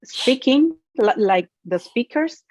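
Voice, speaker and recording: A woman's voice: fairly high pitch, expressive, slow, a little mellow, dry recording. A woman with a Mexican accent and Spanish as her first language, hesitantly searching for English words in an interview. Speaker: panel guest, event organizer. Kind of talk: conversational interview. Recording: dry, dull lo-fi audio.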